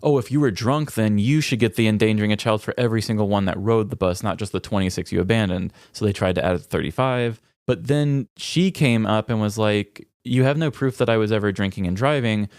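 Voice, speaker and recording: Low-voiced man telling a story casually. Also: brisk, hardly any pauses, no room sound, studio quality. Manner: animated retelling, acting out quoted lines. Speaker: podcast co-host.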